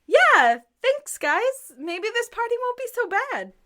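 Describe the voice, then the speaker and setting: A woman playing an excitable, chatty wandering musician, eager and dramatic, in a radio drama. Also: very high-pitched, takes it slowly, full character voice. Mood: cheered.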